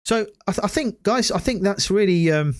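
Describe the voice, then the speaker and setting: A man hosting a casual solo gaming podcast, hoarse after hours of talking and rambling with many hesitant restarts. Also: high voice, animated, normal speed. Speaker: podcast host.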